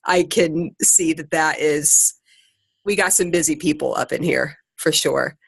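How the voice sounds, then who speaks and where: A woman with an American accent and a fairly low voice leads a group call in a dry, close sound. She talks casually and chattily, with an informal, self-deprecating streak as she motivates the group. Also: a little slow, creaky, breathy edge, no echo. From Ohio, United States. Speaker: secondary teacher and podcaster leading a group call. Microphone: conference call.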